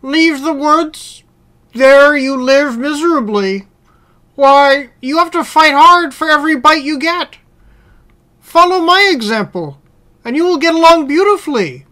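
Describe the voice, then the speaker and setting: A woman's voice: sits high, expressive, measured pace, a bit bright, voice pushed up. A woman voicing a fat, self-satisfied house dog in a storybook fable, with a breezy, coaxing manner. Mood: smug, persuasive.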